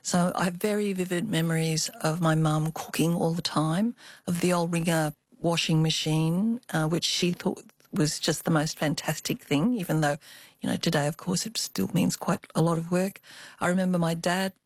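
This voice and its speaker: An older woman with an Australian accent, telling personal memories as an interview guest in a thoughtful, expressive way. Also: on the low side, normal speed, no room sound. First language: Cantonese. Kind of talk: reflective personal storytelling.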